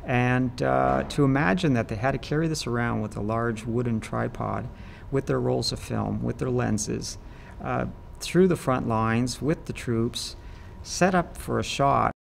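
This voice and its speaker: A man's voice: medium pitch, unhurried, gravelly, a little hiss or hum. A man explaining things in an interview as an archive expert.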